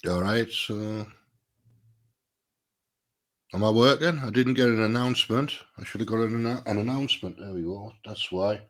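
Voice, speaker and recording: A middle-aged man with a stuffy nose, sounding a bit nasal and lower than usual. He hosts a solo live stream in a relaxed, rambling way, restarting his sentences as he thinks aloud. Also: moderate pace, long pauses. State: stuffy nose from a sinus problem.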